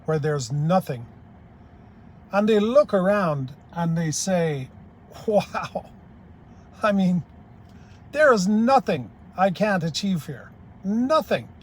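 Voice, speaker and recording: A man's voice: on the high side, darkish tone, a little hiss or hum. An older man from Ireland giving a blunt, plain-spoken, rambling opinion monologue as a YouTube commentator.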